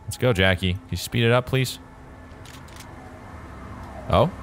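A man's voice: medium pitch, measured pace, a bit bright, slight background noise. An American man giving casual, jokey gameplay commentary, slangy and talking back to the game. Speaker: gaming video host. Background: game music and vehicle sounds.

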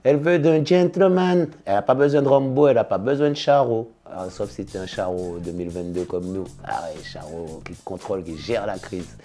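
Brief: relaxed fifties male singer-DJ, low mellow voice, studio interview, soft music bed. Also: brisk, few pauses. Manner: relaxed, laid-back, with slangy big-ups. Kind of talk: casual interview answers. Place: studio in front of blue backdrop.

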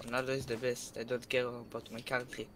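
A man from Greece on voice chat with a streamer, repeating himself insistently in halting, broken English. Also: medium pitch, monotone, a bit bright. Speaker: online player talking to a streamer. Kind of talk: casual voice chat.

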